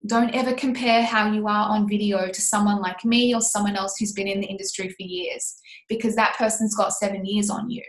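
A woman in her thirties talks casually to her coaching group, animated and quick to laugh. She acts out her past self while making her point. Her voice sits at an ordinary pitch and carries a roomy echo. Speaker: business coach leading a mastermind group.

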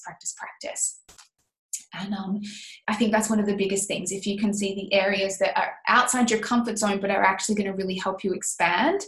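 A woman in her thirties talks casually to her coaching group, animated and quick to laugh. She acts out her past self while making her point. Her voice sits at an ordinary pitch and carries a roomy echo. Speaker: business coach leading a mastermind group.

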